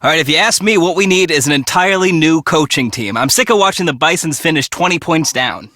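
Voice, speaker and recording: Fast, bright, fed-up sports ranter. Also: male voice, on the high side, expressive, no echo, studio quality. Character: fed-up, opinionated male sports fan on radio. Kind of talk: radio sports rant.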